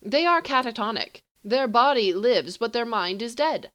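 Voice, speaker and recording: A woman's voice: fairly high pitch, animated, moderate pace, fairly bright tone, voice pushed up. A woman voicing a haughty, condescending male sorcerer with a clipped, superior manner. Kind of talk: storybook reading.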